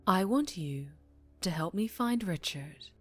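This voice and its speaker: A woman playing a dangerously charming female mafia boss in an ASMR roleplay, teasing and menacing, with deliberate purring delivery that drops toward a whisper. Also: lowish voice, lively intonation, soft background music. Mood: cool menace. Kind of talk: ASMR roleplay audio drama, addressing the listener.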